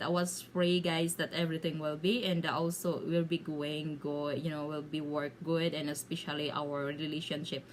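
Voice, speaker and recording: A home-made vlog where a Filipino woman with a Bisaya accent chats to her followers in halting English, her voice on the low side over faint background noise. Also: poor audio. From Philippines. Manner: halting, searching for words in limited English. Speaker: video blogger. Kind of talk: casual storytelling to viewers.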